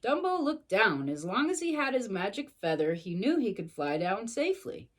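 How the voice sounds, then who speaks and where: A woman's voice: mid-range voice, sing-song, moderate pace. A woman reading a storybook to young children expressively, adding sympathetic little asides.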